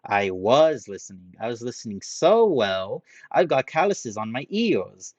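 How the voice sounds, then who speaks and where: A man reading a story aloud, voicing a loud, boastful young man who picks fights and brags. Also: medium pitch, expressive, no echo, lo-fi sound, lightly acted. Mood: brash, indignant. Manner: shouting, bragging, quick to pick fights.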